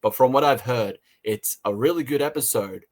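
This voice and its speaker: A man co-hosting a casual review chat, talking in a halting way and rephrasing as he goes. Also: mid-range voice. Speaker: podcast co-host reviewing audio dramas.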